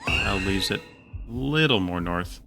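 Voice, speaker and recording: A man's voice: deep voice, lively intonation, a little slow, raised voice, loud music behind the voice. A man co-commentating a live game match, analyzing plays and thinking aloud about his guesses. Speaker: esports co-commentator.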